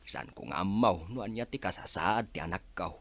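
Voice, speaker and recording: Deep-voiced worried old father. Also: a little fast, a bit bright, dry recording. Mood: worried, pleading. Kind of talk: radio drama acting.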